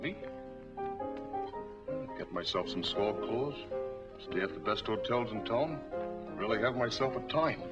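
An actor playing a simple, eager young sidekick with plain slangy talk in a 1940s film noir. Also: male voice, mid-range voice, sing-song, slow pace, pauses often. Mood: curious, eager. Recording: muffled 1940s film soundtrack, loud music.

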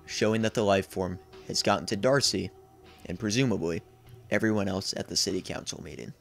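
Low-voiced young man narrating, slightly creaky. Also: brisk, faint music behind. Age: 20s. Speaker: video essayist. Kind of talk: scripted narration, horror series recap. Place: small indoor room.

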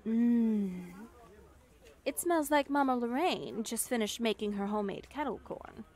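A woman playing a high-voiced, unhurried confident young witch. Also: animated, a little mellow. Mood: warm, playful. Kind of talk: ASMR roleplay acting.

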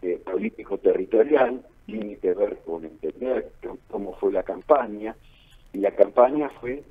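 Deep-voiced Argentine man on phone-like line. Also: unhurried, husky. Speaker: political analyst being interviewed. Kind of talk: radio interview analysis. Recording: narrow phone-like line, muffled.

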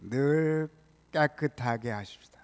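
An older man preaching a prepared sermon as a pastor, in a measured, solemn way, from a church pulpit microphone. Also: medium pitch, clear, resonant tone. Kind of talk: sermon, read from prepared text. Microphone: gooseneck pulpit microphone. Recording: reverberant church hall sound.

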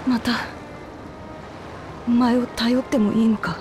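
A woman voicing a young lion-woman warrior queen in a video game, passionate and earnest, with rough, tomboyish speech. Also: fairly high pitch, flat delivery, measured pace, background noise, lightly acted. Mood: steadier, grateful. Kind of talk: dramatic video game voice acting.

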